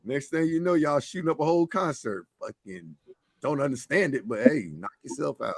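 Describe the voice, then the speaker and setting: A man's voice: medium pitch, lively intonation, moderate pace, creaky, no room sound, clean studio sound. A man hosting a casual live stream, bantering bluntly with plenty of swearing and teasing as he talks and reads out comments. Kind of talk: casual panel banter, reading out viewer comments.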